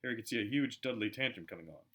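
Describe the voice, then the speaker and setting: Bright, animated man reading a storybook. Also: lowish voice, racing. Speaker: amateur audiobook reader. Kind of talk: animated storybook narration with chatty asides.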